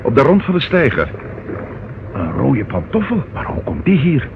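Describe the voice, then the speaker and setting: An actor playing an alert, puzzled police detective who reasons aloud in an old radio crime drama. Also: male voice, medium pitch, wildly swooping pitch, normal speed. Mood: puzzled, reasoning. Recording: tinny, noisy old radio recording.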